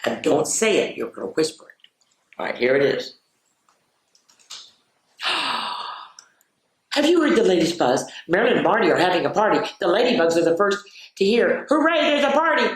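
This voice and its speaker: A woman's storytelling voice in an echoey room. She reads to children in an expressive, playful way and breaks off for chatty asides, speaking to her young listeners directly. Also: medium pitch, normal speed, pauses often. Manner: expressive, playful, drops into whispers for refrains. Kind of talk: animated read-aloud for children with chatty asides. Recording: roomy, echoey sound.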